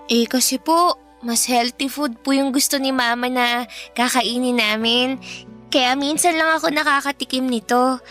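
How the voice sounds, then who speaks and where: A woman's voice: sits high, steady tone, normal speed, fairly bright tone, soft background music. A woman playing a polite, shy girl of about eleven in a Filipino radio drama, speaking hesitantly. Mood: earnest, modest. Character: polite, obedient eleven-year-old girl, shy and modest.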